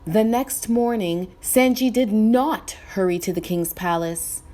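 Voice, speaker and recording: A woman reading a children's story aloud in expressive scripted narration. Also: medium pitch, measured pace.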